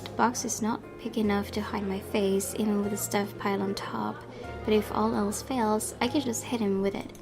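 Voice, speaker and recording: A woman reading a visual novel aloud as a let's play streamer, in expressive narration mixed with casual commentary. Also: mid-range voice, normal speed. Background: game music.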